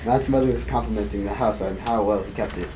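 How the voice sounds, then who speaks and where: A man's voice: fairly low pitch, fairly even intonation, quick pace. A man narrating an amateur puppet show, reading hesitantly with frequent restarts. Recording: noisy amateur recording.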